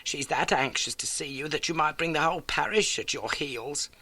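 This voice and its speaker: A man's voice: fairly high pitch, normal speed, bright, forceful tone, no echo, voice pushed up. A man voicing an elderly, motherly working-class landlady in an audiobook, garrulous, earnest and folksy. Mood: chatty, earnest. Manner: chatty, folksy, dramatic storytelling. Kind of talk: audiobook character acting.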